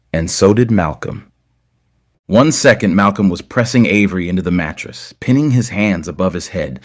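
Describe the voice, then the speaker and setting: A man narrating scripted romance fiction in a measured, deliberate way with dramatic pauses. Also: low-pitched. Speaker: audio story narrator.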